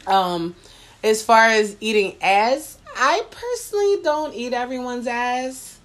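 A woman's voice: a little high, expressive, slow. A woman hosting a casual podcast from her kitchen, talking frankly and playfully and laughing often. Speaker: podcast host. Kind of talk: casual explicit podcast chat. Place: home kitchen, cooking while talking.

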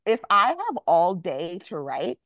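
A young woman from Jamaica talks about her writing life in a relaxed podcast interview. Her voice sits a little low, and she is candid and quick to laugh at herself. The sound is dry and close, with no room around it. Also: no room sound, lo-fi sound. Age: young adult. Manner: candid, self-mocking, laughs often. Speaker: author guest.

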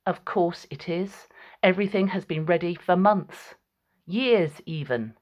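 A woman's voice: lowish voice, unhurried, darkish tone. A woman voicing Clytemnestra, a tall, proud, vengeful ancient queen, in a clipped, tense manner for a storybook reading. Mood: impatient.

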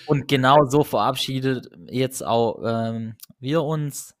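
A man with a regional German dialect hosts a podcast in a casual chat. His voice sits at a middling pitch, and he talks in an easygoing, hesitant way with loosely built sentences. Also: steady tone, slow pace. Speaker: podcast host.

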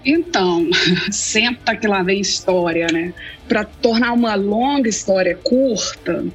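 A woman's voice: mid-range voice, poor audio. A woman in her forties from Belo Horizonte with a Minas Gerais accent, answering interview questions in a thoughtful, storytelling way. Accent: Minas Gerais Portuguese. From Belo Horizonte, Brazil. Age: 40s. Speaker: guest theologian.

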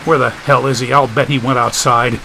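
A man's voice: mid-range voice, steady tone, brisk, creaky, bright, forceful tone, a little hiss or hum, poor audio. A man voicing an irritable, cynical, foul-mouthed man who speaks bluntly and snappishly. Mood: irritated.

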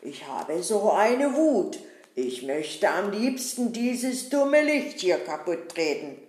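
A woman voicing a grumbling, greedy robber in a puppet-show style, talking loud and angry. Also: mid-range voice, sing-song, slow pace. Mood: grumpy, angry. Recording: roomy echo.

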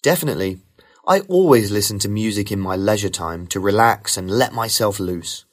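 A man reading rehearsed model answers for a speaking test in a smooth, even way. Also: on the low side, normal speed. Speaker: sample test candidate.

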